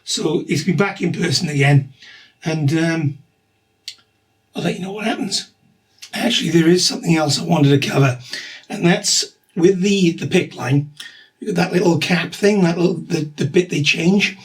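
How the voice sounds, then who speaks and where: A middle-aged man with a husky voice and a slight cough, talking through his treatment in a rambling, matter-of-fact video diary. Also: fairly high pitch, moderate pace, long pauses, rough recording. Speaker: vlogger documenting his cancer treatment.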